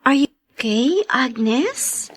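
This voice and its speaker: Concerned girlfriend confidante, radio drama: high, animated, chatty, probing. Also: very slow, heavily acted. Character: nosy, concerned female friend, lively and chatty. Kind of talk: Tagalog radio drama acting.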